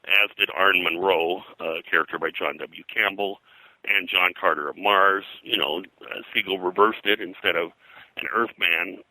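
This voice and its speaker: A man recounting history as an interview guest, in a halting, digressive, detail-heavy way. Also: low-pitched, fairly even intonation, bright, forceful tone, no echo, rough recording. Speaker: pop culture historian, guest.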